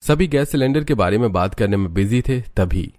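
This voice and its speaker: A man's voice: lowish voice, lively intonation, moderate pace, a little mellow. A man narrating a scripted cartoon story as its storyteller.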